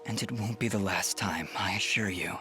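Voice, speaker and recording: A man's voice: lowish voice, normal speed, bright, forceful tone, soft background music. An actor playing a troubled young swordsman hero in a video game, solemn and intense. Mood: gentle, unsure. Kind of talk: dramatic video game acting.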